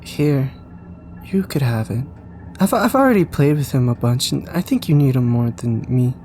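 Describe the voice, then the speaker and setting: A man's voice: medium pitch, expressive, moderate pace, mellow, faint music behind, natural voice. A man voicing a small, kind-hearted, shy child in an audio drama, speaking hesitantly and stumbling over words. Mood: gentle, earnest. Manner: hesitant, stammering and repeating words. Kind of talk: audio drama character dialogue.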